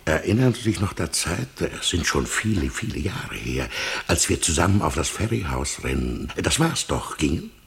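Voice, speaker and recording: A creaky, evasive, flustered married man. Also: a bass voice, sing-song, normal speed, fairly bright tone, exaggerated voice. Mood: confiding. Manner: hesitant, stammering, wheedling. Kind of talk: German radio drama acting.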